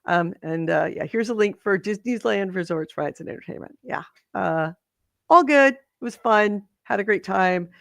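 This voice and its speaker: A slightly hoarse woman co-hosting a podcast, chatting in a rambling, self-interrupting way. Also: mid-range voice, normal speed, no room sound, clean studio sound. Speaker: podcast co-host. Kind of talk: casual chat.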